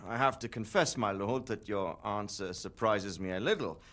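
A man's voice: lowish voice, moderate pace, fairly bright tone, lightly acted. An actor playing a dignified knight and father who questions others politely. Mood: courteous. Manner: courteous, probing. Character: dignified older male knight, courteous and probing.

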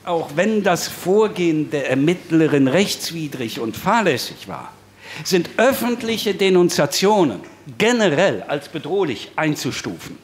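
Formal, curt male police spokesman, slightly high pitch, audio drama press conference, faint background noise. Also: big pitch swings, a little slow. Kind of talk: official press conference statement, acted.

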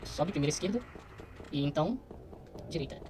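Young brazilian guy streaming a game, talks fast and slangy, some noise behind him. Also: a little high, expressive. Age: young adult. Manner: slangy, muttering to himself. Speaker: gaming streamer. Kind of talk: casual let's play commentary.

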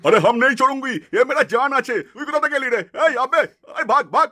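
A man's voice: very high-pitched, fast, bright, forceful tone. A man voicing a sly, lecherous snack-seller villain in a puppet cartoon, wheedling and pushy. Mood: urgent, defiant.

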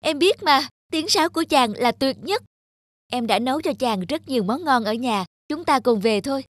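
A woman voicing a gentle, devoted young village wife in an animated folktale, speaking earnestly. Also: high-pitched, normal speed, no echo, studio quality. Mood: happy, affectionate. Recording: music under the voice.

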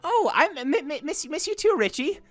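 A woman voicing a gentle, devoted, nerdy young man with a heavy stutter in a comic dub. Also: high voice, sing-song, fairly quick, soft background music. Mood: cheerful, affectionate. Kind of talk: amateur comic dub acting.

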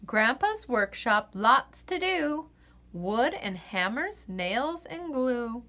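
A woman reading a children's picture book aloud in an expressive, sing-song way. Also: a little high, slow pace. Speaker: children's story reader.

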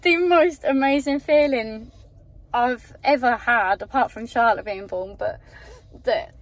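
A woman's voice: on the high side, unhurried, no echo. A young woman talks into a phone held at arm's length inside a car at night. Her voice wavers with tears as she gushes with emotion, caught by the small phone mic. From England, outside Yorkshire. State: teary. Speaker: football fan. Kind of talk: emotional vlog to camera. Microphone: phone camera held at arm's length.

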